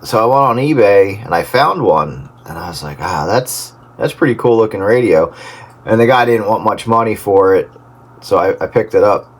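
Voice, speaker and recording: A man hosting a casual radio hobbyist chat over CB, speaking haltingly over a two-way radio link. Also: fairly low pitch, animated, breathy edge. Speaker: host of a radio hobbyist round-up. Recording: very noisy CB radio transmission.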